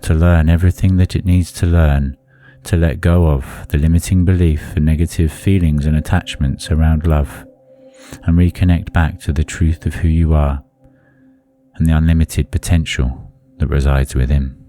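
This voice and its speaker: A man leading a guided meditation in a soothing, deliberate manner, leaving long pauses between phrases. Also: very deep voice, fairly even intonation, mellow, faint music behind.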